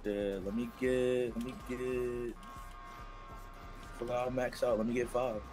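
A man with a medium-pitched voice gives short, slangy replies in casual game roleplay. Music is clearly audible behind him. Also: little pitch movement, slow pace. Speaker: player in online roleplay game. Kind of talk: casual street roleplay chat.

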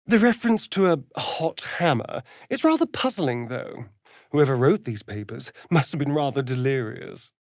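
An actor with a British accent playing a sardonic, vain elven vampire rogue in a fantasy video game, with an arch, drawling, mocking delivery. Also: male voice, mid-range voice, expressive, moderate pace, creaky, no room sound, studio quality.

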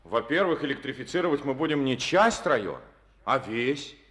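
An actor playing an energetic, visionary party secretary in a Soviet radio play, emphatic and confident. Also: male voice, mid-range voice, moderate pace. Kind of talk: 1950s radio play acting.

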